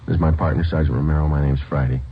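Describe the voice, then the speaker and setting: An actor playing a terse, matter-of-fact police sergeant in a vintage radio crime drama. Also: male voice, very deep voice, steady tone, racing, a little hiss or hum. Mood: deadpan, businesslike. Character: businesslike, terse male police sergeant detective. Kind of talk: 1940s-50s radio police drama, clipped understated. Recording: muffled old radio broadcast recording.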